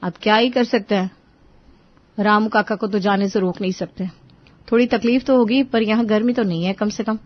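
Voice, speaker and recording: A woman's voice: medium pitch, steady tone, moderate pace, dull audio, close to an everyday voice. A woman voicing a harried, outspoken young housewife in a cartoon family story. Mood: resigned. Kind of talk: cartoon family drama acting.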